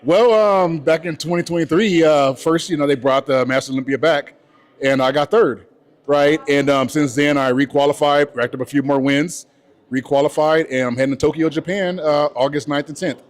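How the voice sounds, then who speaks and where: A man's voice: on the high side, fairly even intonation, moderate pace. A man in his forties from California, a bodybuilding coach, talking casually in an interview and often adding "you know" and "right?". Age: 40s.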